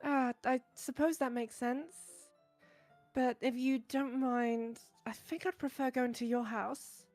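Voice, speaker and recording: Woman voicing a shy, high-voiced anxious schoolgirl. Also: steady tone, a little mellow, no room sound, faint music behind. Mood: shy, earnest. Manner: hesitant, halting. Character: shy, anxious, soft-spoken teenage schoolgirl. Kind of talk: visual novel voice acting.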